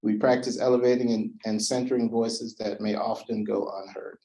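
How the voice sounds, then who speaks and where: A man hosting a community meeting in a meeting room, explaining the ground rules in a relaxed way with many fillers. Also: fairly low pitch, normal speed, low-quality recording. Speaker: event host. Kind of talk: community presentation.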